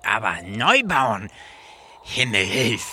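A sharp, forceful comic radio-play prophet, exasperated and whiny, with lively swings in pitch. He bickers and nags with sarcasm, over faint background noise. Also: takes it slowly, exaggerated voice. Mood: nagging.

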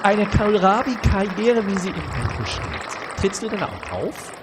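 A man's voice: high voice, very animated, unhurried, long reverb tail. A man improvising a comedy radio play as a chatty, questioning host of a reunion show. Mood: curious, bantering. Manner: questioning, hesitant bantering. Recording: noisy live room with loud audience.

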